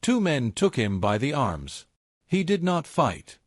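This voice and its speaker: A man's voice: mid-range voice, wildly swooping pitch, slow, no room sound, clean studio sound. A man reading a dramatic story as a scripted audiobook narration.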